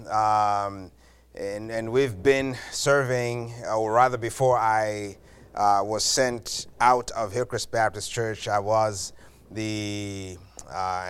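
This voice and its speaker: A missionary man from Zambia, talking to a church with many pauses and uh fillers. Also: on the low side, clean tone. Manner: halting, with frequent uh fillers. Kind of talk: church greeting and testimony.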